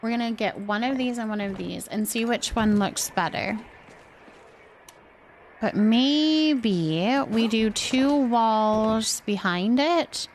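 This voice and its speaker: High-voiced woman chatting on a game stream. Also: takes it slowly, a little hiss or hum. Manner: chatty, thinking aloud. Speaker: livestream gaming host. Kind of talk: casual chat while playing a building game.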